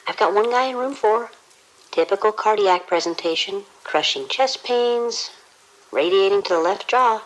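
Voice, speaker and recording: A woman playing a harried, brisk emergency room nurse in an audio drama, reporting in a clipped, businesslike way. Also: medium pitch, expressive, lightly acted, poor audio. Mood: brisk, pressured.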